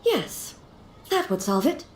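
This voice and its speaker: A woman playing a solemn, ageless ruler deity in a video game, formal and measured, with an earnest, naively curious manner. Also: mid-range voice, big pitch swings, roomy sound, very noisy. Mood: earnest reasoning.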